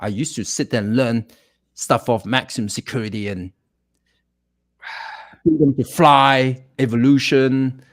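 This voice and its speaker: A man's voice: medium pitch, steady tone, a little slow, pauses often. A man from England chatting casually as a guest musician, telling stories in a self-deprecating way. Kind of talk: casual chat.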